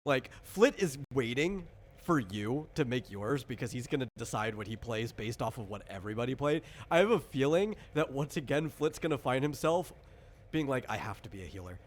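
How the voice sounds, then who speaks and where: A man's voice: mid-range voice, animated, moderate pace. A man chatting casually as a game master, explaining things in an informal, rambling way. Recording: clear background noise.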